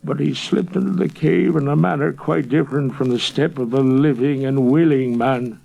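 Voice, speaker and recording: An old shepherd, acted out by a man, gives his eyewitness account of a ghostly sight. The voice is lifted and husky, with a haunted, awestruck intensity fit for a dramatic folk tale. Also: medium pitch, steady tone, moderate pace, lo-fi sound, pitched above the performer's own voice. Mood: awed, agitated.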